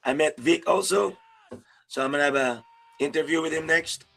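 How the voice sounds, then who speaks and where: A man's voice: on the high side, moderate pace, gravelly, fairly bright tone. A man hosting a casual interview over a video call, friendly and rambling, laughing often. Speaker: YouTube host, interviewer.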